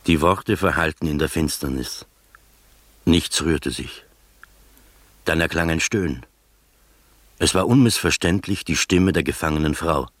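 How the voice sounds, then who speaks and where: An actor playing an observant first-person storyteller, narrating a literary radio drama in measured fashion. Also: male voice, extremely low pitch, no echo.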